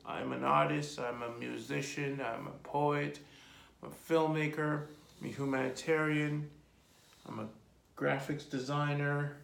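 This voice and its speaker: A man in his forties, an artist telling his life story in a relaxed, rambling way during an interview. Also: on the high side, a little slow, vocal fry. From Jamaica. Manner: rambling lists, relaxed and reflective. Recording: echoey room.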